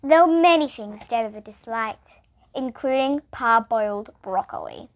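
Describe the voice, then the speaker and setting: A female reader, reading a children's picture book aloud in an expressive, emphatic way. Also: on the high side, a little slow.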